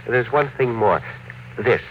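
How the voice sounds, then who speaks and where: An actor playing a pleasant, quietly confident little inventor, politely persistent with a hesitant stammer, in an old-time radio drama. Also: male voice, medium pitch, animated, measured pace, natural voice. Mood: polite, eager. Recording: noisy, muffled old radio broadcast.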